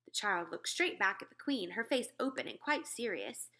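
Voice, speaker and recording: A woman narrating a children's storybook aloud in an expressive style. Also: mid-range voice, moderate pace.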